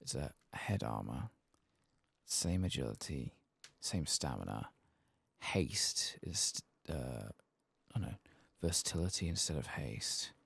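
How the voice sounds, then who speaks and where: Male ASMR game streamer, extremely low gravelly register, husky, murmured play-by-play to himself. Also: sing-song, slow pace, fairly bright tone, dry recording. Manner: low-key, murmuring to himself while playing. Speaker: gaming streamer. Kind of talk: soft-spoken ASMR game playthrough commentary. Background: keyboard typing and mouse clicks.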